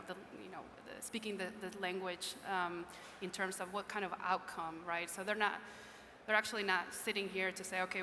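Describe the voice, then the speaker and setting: Woman panelist, bright, echoey hall. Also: on the low side, slight background noise. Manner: conversational, many "um"s and "right?" tags. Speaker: procurement executive panelist. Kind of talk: conference panel discussion. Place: conference stage in a large hall. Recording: echoey hall with faint ambience.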